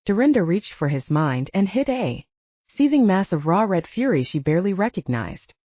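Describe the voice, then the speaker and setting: Female audiobook narrator, dark soft tone, lively intonation, scripted fiction read. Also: on the low side, normal speed, dry recording, muffled recording.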